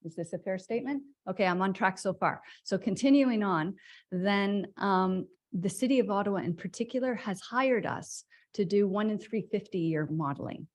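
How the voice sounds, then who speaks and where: A woman on staff, explaining policy at a board meeting in a measured way with fillers. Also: mid-range voice. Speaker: conservation authority staff.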